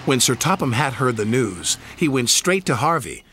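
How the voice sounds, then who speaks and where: A man's voice: medium pitch, sing-song, moderate pace, background noise. A man reading a scripted children's story as its narrator. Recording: music behind the reading.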